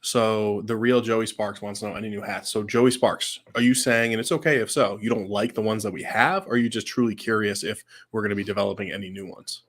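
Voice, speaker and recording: A man's voice: on the low side, steady tone, fairly quick, few pauses, fairly bright tone. A man co-hosting a casual livestream chat, easygoing and chatty with lots of fillers, sounding a little stuffed from a cold. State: fighting a cold, slightly stuffed. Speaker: co-host.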